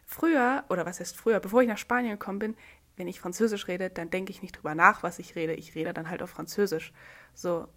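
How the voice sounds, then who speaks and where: A woman's voice: fairly low pitch, a little fast, fairly bright tone. Chatty, giggly young German woman. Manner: chatty, giggly, with many fillers and restarts. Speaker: podcast host. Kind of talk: casual solo podcast chat.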